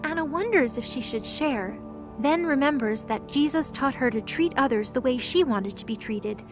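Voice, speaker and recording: A woman's voice: high voice, animated, moderate pace, music in the background. A woman narrator reading scripted third-person narration for an audio drama.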